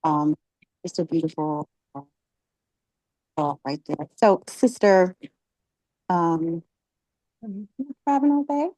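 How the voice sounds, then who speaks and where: A woman leading a religious class, asking catechism questions and encouraging her students, often pausing with ums. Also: fairly low pitch, sing-song, mellow, dry recording, rough recording. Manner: encouraging, halting with many ums.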